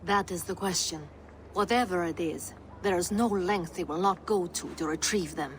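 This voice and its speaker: A woman playing a capable, fiercely loyal Norse woman in a video game, formal, dry and measured in her speech. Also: on the low side, slight background noise, close to an everyday voice. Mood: grave. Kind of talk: dramatic video game dialogue.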